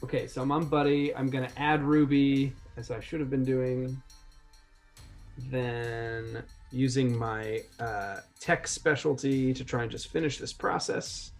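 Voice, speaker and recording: A man takes his turn in a tabletop roleplaying game, talking casually and slipping into narration. He is animated and often cuts into his own sentences. His pitch is average, and faint music runs underneath. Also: a little slow, vocal fry.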